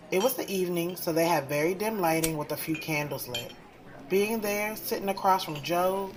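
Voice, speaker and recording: A woman playing a guarded, frank young professional who confides her story in slangy first-person audio drama narration. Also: sits low.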